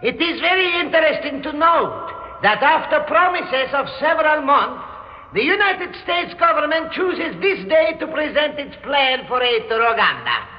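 A man's voice: extremely high pitch, very animated, a little slow, faint music behind, heavily acted. A man playing an indignant diplomat from the Eastern bloc, giving a formal, accusatory speech in a classic radio drama. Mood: indignant, accusing. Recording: dull old radio recording.

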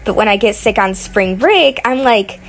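A woman's voice: medium pitch, animated, moderate pace, soft background music. A young woman who is sick narrates gameplay from her bed at home in a casual, rambling way full of fillers. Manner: rambling, many fillers and restarts. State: sick, voice sounding off. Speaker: gaming vlogger.

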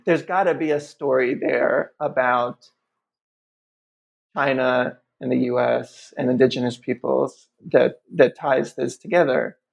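Podcast guest, professor, creaky voice, hesitant with ums, dull recording. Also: male voice, medium pitch, fairly even intonation, measured pace. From upstate New York. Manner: thoughtful, many ums and restarts. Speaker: guest author; history professor. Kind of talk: conversational podcast interview. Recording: muffled lo-fi audio.

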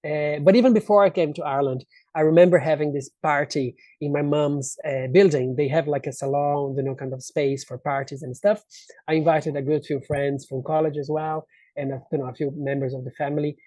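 A Brazilian man, a native Portuguese speaker, with a mellow and slightly high voice. He tells personal anecdotes in a casual interview style, often acting out remarks. The sound is close and dry. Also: steady tone, brisk, no echo. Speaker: interview guest, actor.

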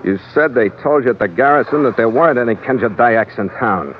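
An American man acting an easygoing sea captain who narrates his adventures in measured, vivid, dryly understated old-time radio style. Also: mid-range voice, lively intonation, slight background noise, close to an everyday voice. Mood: suspicious. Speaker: lead actor and narrator. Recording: muffled vintage radio recording. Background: loud dramatic music.

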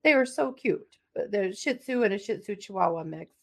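A middle-aged woman co-hosting a podcast, her pitch sitting in an ordinary middle range. She talks in a relaxed, chatty way, full of fillers and little laughing asides, as she walks through a case. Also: normal speed. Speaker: podcast co-host. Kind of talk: casual chat and true crime case telling.

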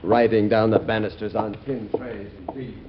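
An actor playing a stern, controlling presidential secretary with a formal, clipped manner in an old radio drama. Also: male voice, fairly low pitch, fairly even intonation, moderate pace, breathy edge. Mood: stern, scolding. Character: officious, cold, controlling presidential secretary, a grown man. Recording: muffled old radio recording.

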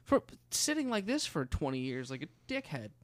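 A man's voice: on the high side, wildly swooping pitch, moderate pace, no echo. A man co-hosting a podcast in casual banter, joking and teasing as he talks. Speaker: podcast co-host and musician.

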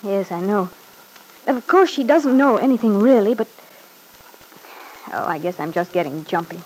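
A woman's voice: medium pitch, lively intonation, normal speed, a little mellow, slightly rough, rough recording. An actress playing a wealthy, anxious young woman in a classic radio soap, nervous, pleading and hesitant as if hiding something. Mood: flustered, evasive. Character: anxious, edgy wealthy daughter with something to hide.

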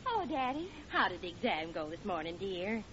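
A woman's voice: mid-range voice, sing-song, moderate pace, fairly bright tone. An actress playing a sweet, affectionate housewife and mother in an old radio comedy. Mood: affectionate, concerned. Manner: affectionate, solicitous. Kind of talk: 1940s radio comedy acting. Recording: muffled old radio recording with noise.

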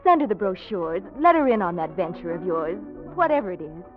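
Young American woman, earnest, gently teasing, 1940s radio romance acting, dull old recording, faint music. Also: fairly low pitch, big pitch swings, fairly quick. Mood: warm, earnest.

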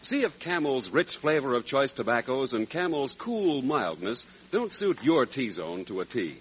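A man's voice: mid-range voice, big pitch swings, measured pace. A man reading a scripted 1940s radio advertisement as the announcer. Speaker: commercial announcer. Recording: dull, slightly noisy old radio broadcast.

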